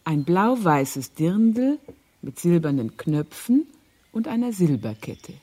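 A gently reproachful older lady in a radio crime drama. Her husky voice is lowish and very expressive, rising and falling widely. The manner is wistful. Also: takes it slowly, full character voice. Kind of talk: German crime radio play acting.

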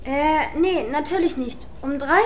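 A woman's voice: high voice, unhurried. A woman playing a secretive school-age child who narrates and acts in a children's radio play. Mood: hurried, evasive.

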